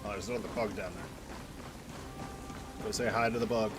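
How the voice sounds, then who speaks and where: A man's voice: on the low side, fairly even intonation, fairly quick. A man doing casual, rambling video game commentary, half muttering to himself as he plays. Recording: game music behind the voice. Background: video game music.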